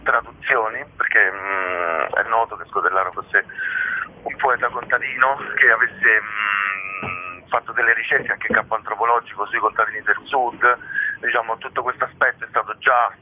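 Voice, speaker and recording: A man giving explanatory interview answers, hesitant, with frequent drawn-out hums as he thinks. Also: on the low side, a little hiss or hum. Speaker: theatre company director interviewed about a show. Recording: tinny, narrow-band phone line.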